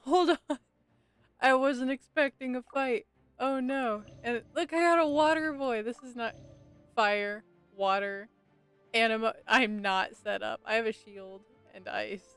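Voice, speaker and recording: A woman's voice: a little high, measured pace, clean tone, no room sound. A woman game streamer giving casual, chatty live commentary and reacting aloud as she plays. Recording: loud game music behind voice. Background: video game music.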